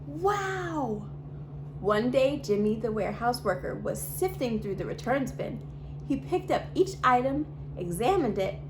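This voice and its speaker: Bright woman reading a children's story. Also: fairly high pitch. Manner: bright, expressive read-aloud delivery. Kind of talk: reading a picture book aloud to kids. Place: home library. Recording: steady background hum.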